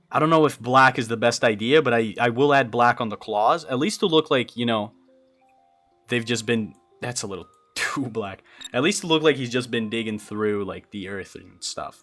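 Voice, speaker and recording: Young guy streaming, thinks out loud, keeps restarting words, mid pitch. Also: normal speed, soft background music. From Brazil. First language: Portuguese. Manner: rambling, thinks aloud, answers chat, stammers and restarts. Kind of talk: casual livestream chat while building a game creature.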